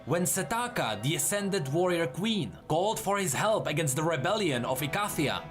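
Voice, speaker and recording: Man, high voice, lively pitch swings, dramatic lore narrator, scripted storytelling, faint music behind. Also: moderate pace.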